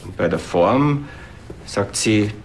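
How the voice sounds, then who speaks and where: An actor playing a patient, gentle husband in a TV crime comedy, speaking plainly. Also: male voice, lowish voice, expressive, takes it slowly, a little hiss or hum. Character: patient husband interpreting for his wife.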